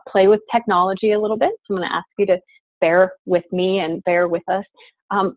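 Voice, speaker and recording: A woman's voice: medium pitch, dry recording. A woman facilitating an online course on a video call, earnest and full of um and uh. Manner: earnest, lots of fillers. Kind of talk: leading an online class call. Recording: dry, phone-like call audio.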